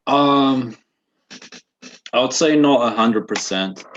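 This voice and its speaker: A man in his twenties from Russia, with a Russian accent softened by New Zealand, answering interview questions in a halting way with many fillers, on dull lo-fi webinar audio. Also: mid-range voice, a little hiss or hum. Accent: Russian with a slight New Zealand accent. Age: 20s. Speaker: guest.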